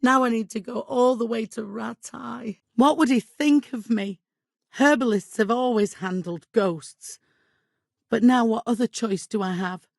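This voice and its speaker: An actress playing a middle-aged medieval village widow in a video game, devout and guilt-ridden, with an exasperated, imperious and plaintive manner. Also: female voice, a little high, a little slow, vocal fry, a little mellow, dry recording, studio quality. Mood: exasperated, indignant. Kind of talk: dramatic video game dialogue acting.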